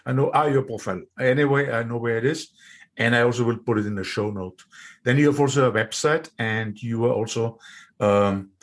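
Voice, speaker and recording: A man's voice: medium pitch, brisk. A man with an Austrian accent hosting a podcast interview, friendly and a little hesitant, with frequent fillers. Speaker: podcast host. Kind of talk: interview conversation.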